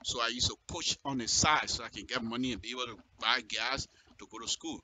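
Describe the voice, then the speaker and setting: A man's voice: medium pitch, brisk, sharp tone, slight background noise. A man with a Liberian accent giving impassioned political commentary in direct address, emphatic and repeating phrases for effect. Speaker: radio commentator.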